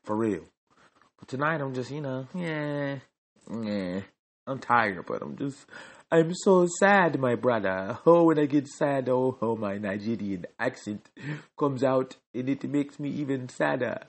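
A man's voice: medium pitch, lively intonation, measured pace. A man hosting his own podcast, delivering a joking, repetitive sports rant. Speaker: podcast host.

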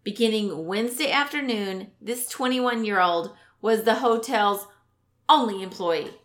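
A woman co-hosting a casual podcast, telling a news story in a lively way and building suspense with questions. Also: medium pitch, a little slow.

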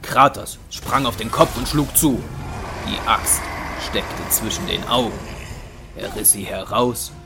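Low-voiced male audio drama narrator. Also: slow pace, gravelly. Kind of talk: scripted dramatic storytelling narration. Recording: music under the voice.

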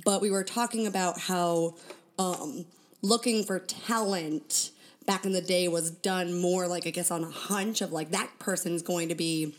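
A woman's voice: on the low side, steady tone, a little slow. A woman from California and Oregon, a guest in a casual podcast chat. She is chatty and laughs easily.

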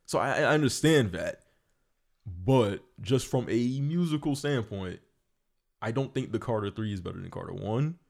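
Low-voiced man debating music casually. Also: lively intonation, gravelly, a little mellow. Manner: measured, explains his reasoning at length. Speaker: podcast co-host.

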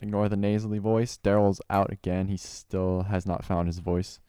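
A young man hosting a podcast, chatting in a relaxed way and often repeating himself. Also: sits low, normal speed, clean tone, no room sound, studio quality. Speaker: podcast host. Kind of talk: casual podcast chat.

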